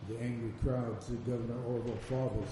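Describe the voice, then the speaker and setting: An older man tells measured stories from his reporting days. He sounds distant from the microphone in a heavily echoing room. A layer of background noise runs beneath his voice. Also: mid-range voice, steady tone. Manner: measured storytelling. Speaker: veteran magazine reporter on a panel. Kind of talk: panel discussion recollection.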